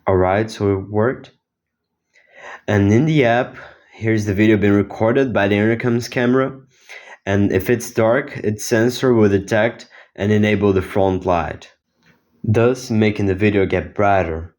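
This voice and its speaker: Low-voiced teenage guy presenting. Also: unhurried, darkish tone. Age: teens. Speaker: student inventor presenting own project. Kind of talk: explanatory project demo.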